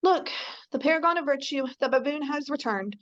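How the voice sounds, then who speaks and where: A woman's voice: high voice, expressive, brisk, muffled recording. A woman voicing a clever, scheming male baboon with a dry, sardonic delivery, in an amateur radio drama table read.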